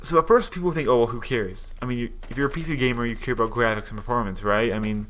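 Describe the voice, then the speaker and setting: A young man with a bright, forceful voice talks through his gaming opinions in a loose, rambling way. He trips over words and restarts sentences, and his pitch sits in an ordinary middle range. Faint background noise sits under his voice. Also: fast. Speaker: gaming commentator. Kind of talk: casual opinion commentary.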